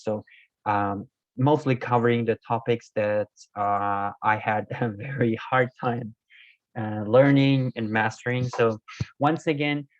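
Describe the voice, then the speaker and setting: A man whose first language is not Korean, explaining Korean grammar in a casual video lesson, hesitant with many fillers and self-corrections, his voice a little hoarse. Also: medium pitch, no echo. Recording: dull, dry lo-fi recording.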